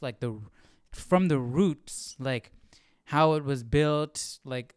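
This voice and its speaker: A man's voice: medium pitch, smooth, no echo, studio quality. A man hosting a live Bible study, reading aloud and musing informally in casual commentary. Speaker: host of a Bible study stream.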